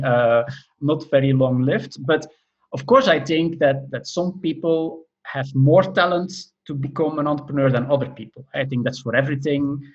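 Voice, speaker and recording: A man's voice: a little high, muffled recording. Man, from Belgium, middle-aged professor, podcast guest, thoughtful, hesitant fillers, explains step by step. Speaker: professor of entrepreneurship. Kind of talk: podcast interview answers.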